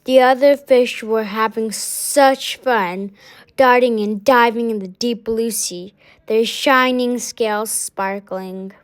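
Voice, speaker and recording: Slow, high-voiced woman reading a storybook. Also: fairly even intonation, clear, resonant tone. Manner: halting, stumbling over words. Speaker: storybook reader. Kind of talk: children's story read-aloud.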